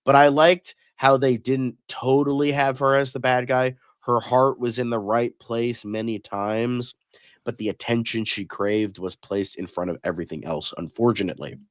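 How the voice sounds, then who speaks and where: A man's voice: medium pitch, fairly even intonation, normal speed, no room sound. Man, stuffy nose from a cold, blunt and swearing, dry close sound. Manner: blunt and profane. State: nasal from a cold. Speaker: host. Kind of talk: casual film review discussion.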